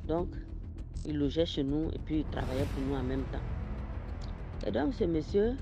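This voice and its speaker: A man from Ivory Coast with an Ivorian French accent, slowly telling a personal mystical story in a phone voice note and repeating phrases for listeners. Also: a little high, animated, creaky, noisy background. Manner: slow, repetitive storytelling addressed to listeners. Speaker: caller sharing a story. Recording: dull voice note over loud music.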